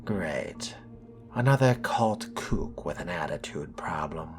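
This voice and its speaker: A man's voice: a bass voice, lively intonation, slow. A man role-playing a video game character, giving dry, sardonic commentary in formal wording. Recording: loud music behind the voice.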